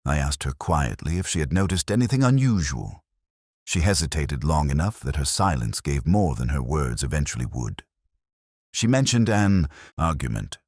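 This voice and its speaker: Really deep guy narrating a moody mystery, measured and literary, dry close sound. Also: very animated, a little mellow, breathy edge, dry recording. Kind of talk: first-person scripted mystery story narration.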